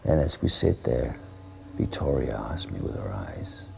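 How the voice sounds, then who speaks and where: Very deep, gravelly whispering man. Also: expressive, unhurried. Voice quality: hushed, breathy whisper. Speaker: storyteller. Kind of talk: whispered first-person storytelling.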